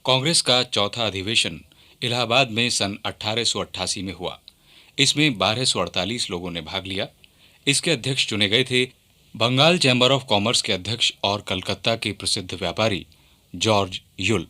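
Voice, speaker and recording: A man's voice: fairly low pitch, moderate pace, sharp tone. A man delivering scripted radio documentary narration in a formal, declamatory manner.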